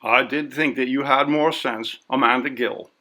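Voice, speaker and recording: A man voicing a brisk, bossy, suspicious sister in a story, with a scolding, insistent manner. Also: mid-range voice, steady tone, pushed and bright, voice pushed up, lightly acted. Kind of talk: audiobook character voice.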